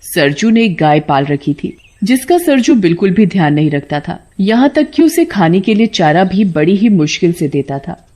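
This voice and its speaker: A woman's voice: fairly low pitch, very animated, normal speed, dark tone. A woman storyteller reading scripted narration for a cartoon folk tale.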